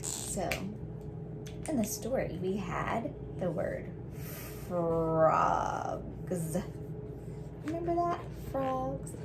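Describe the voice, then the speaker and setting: A woman's voice: on the low side, sing-song, drawn-out words, vocal fry, pauses often, background noise. A woman teaching rhyming to young children, sounding things out carefully and prompting with questions. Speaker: children's read-aloud teacher.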